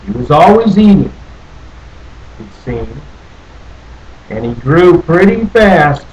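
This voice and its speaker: A man reading a children's picture book aloud in a folksy, playful, drawn-out way with little asides. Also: fairly high pitch, big pitch swings, a little mellow, poor audio. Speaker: storybook reader.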